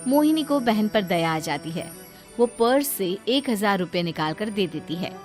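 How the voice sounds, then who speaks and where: A woman's voice: medium pitch, animated, unhurried, a little mellow, faint music behind. A woman reading a scripted story as its narrator.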